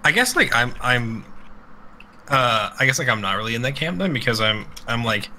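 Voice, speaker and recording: A man's voice: mid-range voice, very animated, normal speed, pushed and bright, a little hiss or hum, poor audio. A man co-hosting a podcast in casual, crude banter, rambling and irreverent, swearing freely. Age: adult with children. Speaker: podcast co-host.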